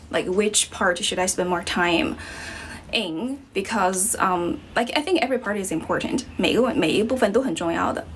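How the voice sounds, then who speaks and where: A woman's voice: mid-range voice, slight background noise. A young Chinese woman teaching English on a livestream, with a Chinese accent and a slight American tinge, chatting casually with lots of fillers. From Guizhou province, China. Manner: relaxed, chatty, many fillers like "you know" and "um". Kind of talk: casual livestream chat answering viewers.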